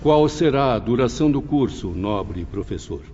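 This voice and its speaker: Male actor as earnest learned student, polite, formal, mellow, audiobook drama, muffled in a long reverb. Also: medium pitch, expressive, normal speed, faint music behind, close to an everyday voice. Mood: earnest, curious. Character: eager, earnest male student, a former professor. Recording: muffled, reverberant hall, faint noise.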